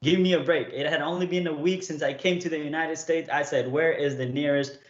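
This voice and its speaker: Young man from Nepal, casual livestream host, slightly raised pitch, chatty and checks in with viewers often; roomy, muffled sound. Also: steady tone, fairly quick. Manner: chatty, keeps checking in with "okay?" and "right?". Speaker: streamer coaching viewers.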